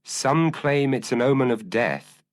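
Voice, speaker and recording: Man acting earnest male constable, uneasy, hesitant, formal, expressive pitch, gothic audio story. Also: unhurried, close to an everyday voice. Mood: anxious, grave. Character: earnest, anxious, stocky male village constable.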